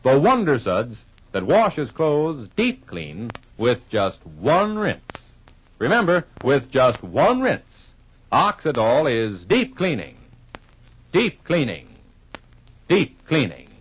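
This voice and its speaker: A man announcing on 1950s radio, reading scripted pitches and narration in an emphatic, folksy, polished way. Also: huge pitch swings, takes it slowly, breathy edge. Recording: muffled vintage radio recording.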